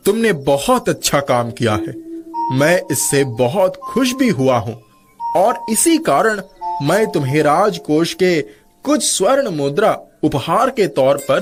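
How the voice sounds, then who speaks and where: A man voicing a proud, wily king in a cartoon story, with a stately, commanding manner. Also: fairly high pitch. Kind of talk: Hindi cartoon story character dubbing.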